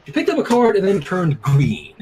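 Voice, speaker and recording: A man's voice: high voice, noisy background. A man reading a story aloud expressively, acting out dialogue between casual remarks as a stream co-host. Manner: expressive, acts out dialogue while narrating. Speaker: fanfic reader and stream co-host. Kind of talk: animated storytelling read aloud with casual chat.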